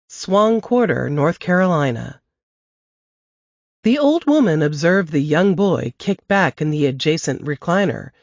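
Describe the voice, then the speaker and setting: A woman hosting a podcast who reads a novel excerpt aloud in a measured, expressive storytelling manner. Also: lowish voice, dry recording. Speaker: podcast host reading an excerpt. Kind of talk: scripted narration of a novel excerpt. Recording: dry, dull lo-fi podcast audio.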